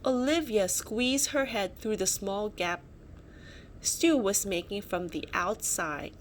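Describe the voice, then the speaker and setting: A woman reading a children's picture book aloud, dramatic and expressive, adding little asides as storyteller. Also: medium pitch, slow. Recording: faint background noise.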